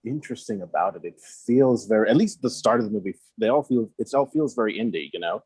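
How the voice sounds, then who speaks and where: Husky low-voiced young man. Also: expressive, fast, a little mellow, dry recording. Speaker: film podcast guest or co-host. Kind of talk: casual film chat. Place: remote video call.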